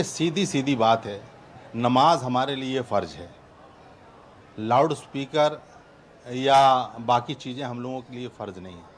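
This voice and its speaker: A man giving a plain, measured statement to the press as a spokesman. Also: mid-range voice. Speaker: organisation spokesman.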